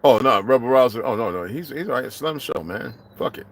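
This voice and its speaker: A middle-aged man delivering a heated, profane vlog rant, swinging from low muttering to shouting. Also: lively intonation, a little fast. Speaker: vlogger. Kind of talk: profane ranting monologue.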